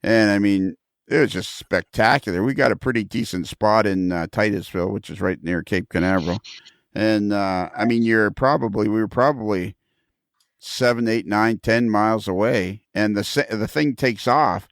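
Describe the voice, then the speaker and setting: A man's voice: lowish voice, moderate pace, no echo, clean studio sound. A man hosting a casual podcast chat, who asks his guests questions and laughs often. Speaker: podcast host.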